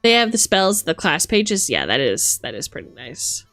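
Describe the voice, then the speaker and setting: A woman's voice: lowish voice, very animated, normal speed, creaky, a bit bright, no echo, faint music behind. A woman doing casual livestream commentary as host, playful and theatrical, mixing gushing exclamations with dry asides. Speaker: streamer hosting a live book look-through.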